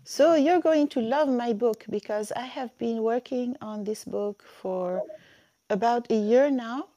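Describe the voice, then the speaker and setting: A woman's voice: fairly high pitch, a little slow, warm tone, no echo. A woman hosting a casual live chat, a friendly and patient Arabic teacher who helps guests find their words.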